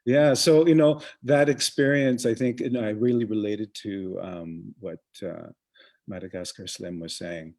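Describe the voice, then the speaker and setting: A man's voice: on the low side, fairly even intonation, measured pace, darkish tone. A man from the Congo who grew up in Uganda, co-hosting a casual live-streamed chat with many fillers and loose, trailing phrases. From Congo, raised in Uganda. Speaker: co-host, museum programmer.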